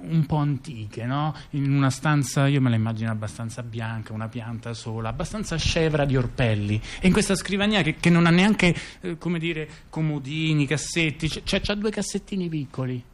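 A man performing conversational satirical storytelling, halting and digressive, repeating phrases and checking in with his listener. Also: a little high. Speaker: storyteller-performer in a two-person stage dialogue.

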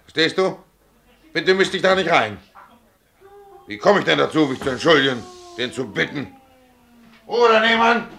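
Stubborn tram driver, sharp forceful voice. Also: male voice, fairly high pitch, big pitch swings, moderate pace, creaky, pauses often, full character voice. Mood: furious. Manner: blunt, emphatic, colloquial. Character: stubborn, indignant dismissed male tram driver fighting for his job. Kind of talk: German radio play acting.

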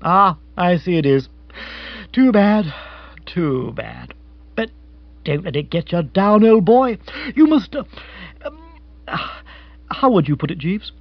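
Man acting as jovial upper-class English bore, pompous, tactless, high-pitched, husky, storybook character, muffled recording. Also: very animated, slow pace, long pauses, no room sound, full character voice. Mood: blustering, consoling.

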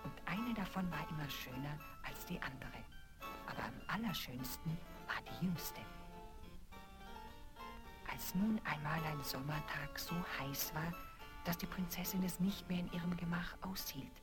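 A woman narrating a fairy tale for children in an expressive, measured storytelling manner. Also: deep voice, loud music behind the voice.

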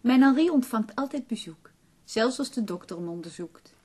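An actress playing the chatty, simple-hearted wife of a sick poet in a radio drama, animated and artless. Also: female voice, a little high, moderate pace, slightly rough. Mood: chatty, welcoming.

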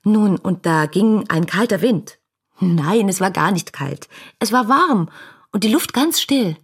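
Casting: lovestruck young woman for radio play, breathy husky voice, rapturous letter-reading that moves between hushed intimacy and exclamation. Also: medium pitch, animated, a little slow, full character voice. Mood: dreamy, intimate. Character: ardent young woman writing adoring love letters.

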